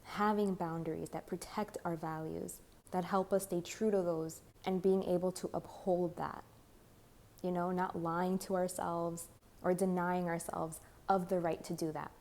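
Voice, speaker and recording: A young woman, a bit hoarse, giving a rambling spiritual tarot talk full of "like" and "you know". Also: fairly low pitch, level tone, measured pace, darkish tone. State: slightly hoarse. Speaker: tarot reader. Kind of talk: channeled spiritual monologue.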